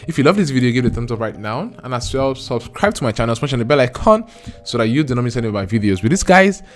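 A man's voice: medium pitch, big pitch swings, fast, faint music behind. A young man with a Nigerian accent, explaining step by step in an earnest, emphatic vlog to camera. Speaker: YouTube creator. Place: small home studio room. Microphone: large studio mic close in front.

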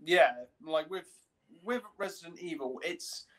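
A young man co-hosting a podcast on a video call, chatting casually and haltingly with plenty of filler. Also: fairly high pitch, a bit bright. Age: young adult. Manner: halting, peppers speech with "like". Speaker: podcast co-host. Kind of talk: casual chat. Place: indoor room, video call.

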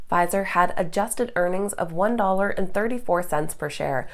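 A woman in her thirties, slightly stuffed up from a cold, reading a brisk, upbeat scripted morning market update as host in a studio. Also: fairly low pitch. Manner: brisk, upbeat presenter delivery. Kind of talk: scripted market news read. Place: studio, green screen backdrop.

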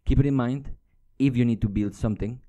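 A man's voice: mid-range voice, a little fast, warm tone. A young adult man giving an informal, explanatory coding tutorial as an instructor, speaking casually into a close microphone. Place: indoors at a computer.

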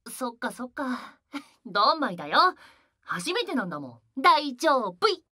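A man's voice: extremely high pitch, big pitch swings, unhurried, bright, forceful tone, dry recording, studio quality, heavily acted. A man playing a sweet, cutesy, girlish young male idol in a voice drama, bubbly in manner. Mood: comforting. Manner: cute, bubbly, refers to self by name. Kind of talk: Japanese voice drama acting.

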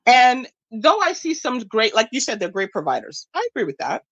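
A woman's voice: fairly high pitch, big pitch swings, moderate pace, a bit bright, highs cut off. A woman caller, born in Nigeria and raised in the United States, with an American accent, insistently repeating her point in a debate. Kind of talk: phone-in debate.

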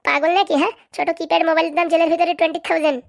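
A woman's voice: very high-pitched, level tone, very fast, creaky. A woman voicing a menacing veteran prison boss who taunts and brags like a queen in a comedy cartoon. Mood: mocking. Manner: taunting, bullying, bragging. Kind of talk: Bengali comedy cartoon dialogue.

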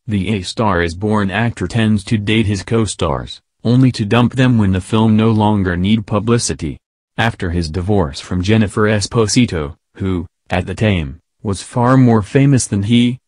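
A man narrating a scripted celebrity gossip piece in a steady, even read. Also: fairly low pitch, no room sound.

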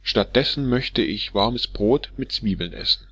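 A timid middle-aged barber, voiced by a male narrator, mumbles in a low, hesitant voice. He sounds puzzled and cautious in a dry, close storybook recording. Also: a little slow, fairly bright tone, husky, no echo, full character voice. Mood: bewildered.

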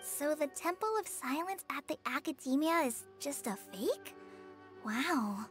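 A tiny, chirpy female fairy sidekick from a fantasy game, nosy and excitable. The voice sits very high and leaps up and down through each line. Game music plays clearly behind her. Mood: curious. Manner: bouncy, curious, quick to exclaim.

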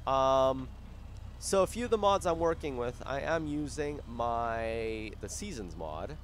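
A young man with a hoarse, fading voice chats through a gameplay session at his desk microphone. His pitch sits in the middle, and he rambles with frequent ums and restarts. A faint hum of vehicle noise runs underneath. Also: lively intonation, unhurried. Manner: rambling, full of fillers and restarts. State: hoarse, losing his voice from a sore throat. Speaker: gaming YouTuber. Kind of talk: casual gameplay commentary. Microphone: desktop microphone.

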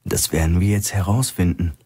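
A calm male hostage quietly planning his way out, played by a man reading an audiobook. His husky voice is measured and understated, in crime thriller style. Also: low-pitched. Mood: guarded, calm. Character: calm, calculating male hostage plotting escape.

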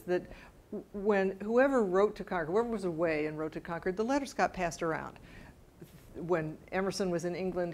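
A middle-aged woman answering questions after a scholarly talk, informal and hesitant, often correcting herself as she thinks aloud. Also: lowish voice. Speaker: lecturer answering audience questions. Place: bright mid-sized library meeting room with audience.

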